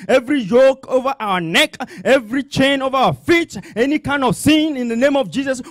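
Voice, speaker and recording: A man's voice: very high voice, no room sound. A male pastor with a non-native English accent, preaching and praying in a fervent, emphatic way with repeated phrases. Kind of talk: sermon and congregational prayer.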